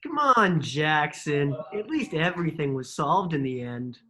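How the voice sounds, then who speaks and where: Goofy, carefree brother, playful with very lively pitch; played by a woman at a table read. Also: measured pace, exaggerated voice. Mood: soothing. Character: goofy, carefree brother devoted to pet lobster.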